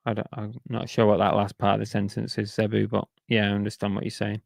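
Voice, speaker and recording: A man's voice: on the low side, monotone, fast talker, vocal fry, no room sound, clean studio sound. A man chatting casually on a live stream, reading out viewer comments and grumbling about sales while losing his voice. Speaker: reseller streamer reading chat.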